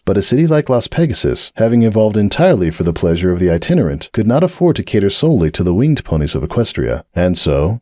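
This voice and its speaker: A man with a low voice reads a dramatic story aloud with measured, expressive delivery. His pitch rises and falls freely as he narrates, and the sound is dry and close with no room around it. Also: no room sound. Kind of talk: dramatic audiobook reading.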